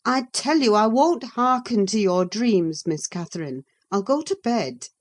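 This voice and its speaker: A woman reading an audiobook, voicing a practical, cautious woman servant who talks in a measured, reproving way. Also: medium pitch, animated, voice pushed up. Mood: protesting.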